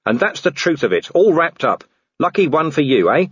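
A man's voice: on the high side, sing-song, moderate pace, breathy edge, dry recording, muffled recording, voice pushed up. A man voicing a grim, weary male city watch commander who talks in a bitter growl.

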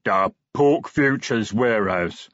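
A man voicing a surly, cowed troll messenger in an audiobook, mumbling slowly in broken grammar. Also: fairly low pitch, a bit bright, no echo, dull audio, pitched above the performer's own voice. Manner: mumbling, slow, ungrammatical.

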